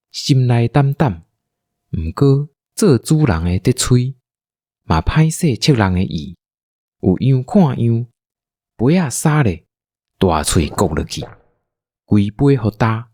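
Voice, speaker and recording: A man's voice: mid-range voice, big pitch swings, slow, darkish tone, no echo, studio quality. A man with a Taiwanese accent narrating a scripted audio drama as its storyteller.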